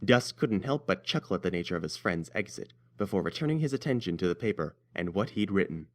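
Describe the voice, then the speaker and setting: A man's voice: sits low, animated, quick pace. A man narrating a scripted fan-fiction story as an audiobook reader.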